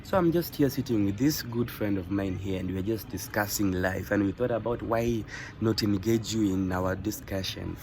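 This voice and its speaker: A man with a Kenyan accent and a mellow, relaxed voice chats as a vlog host. He mixes English with Swahili and often stretches out his phrases. There is clear background noise from outdoors. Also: fairly low pitch, normal speed, few pauses. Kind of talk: casual chat to camera, switching between English and Swahili.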